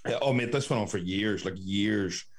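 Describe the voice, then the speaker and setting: A Northern Irish man with a fairly low voice hosts a casual podcast. He tells anecdotes in an animated, conversational way and swears freely. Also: moderate pace. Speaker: podcast host. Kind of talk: casual chat, telling childhood anecdotes.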